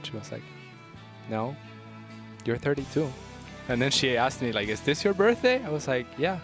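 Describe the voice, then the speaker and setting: A man's voice: mid-range voice, very animated, moderate pace, music in the background. A man in his thirties giving casual esports commentary, often repeating words as he goes. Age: 30s. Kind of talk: casual chat, esports analysis.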